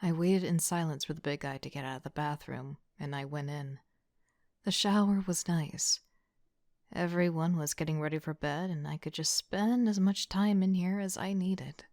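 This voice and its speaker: A woman playing a young team leader who narrates an audio drama in a reflective, softly breathy, introspective way. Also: deep voice, moderate pace, dry recording, studio quality.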